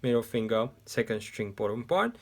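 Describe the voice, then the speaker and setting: A man's voice: medium pitch, sing-song. A man whose first language is Japanese, teaching a ukulele tutorial in English step by step, seated indoors. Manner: slow, step-by-step explaining. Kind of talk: music tutorial instruction. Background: ukulele playing.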